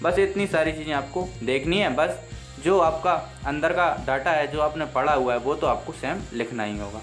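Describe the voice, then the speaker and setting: An Indian-accented man, a native Hindi speaker, gives an exam lesson in a fairly high voice. He explains step by step and repeats key points in an instructive manner. Faint music plays behind him. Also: fairly bright tone. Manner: instructive, repeats points, slow deliberate read-outs. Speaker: teacher.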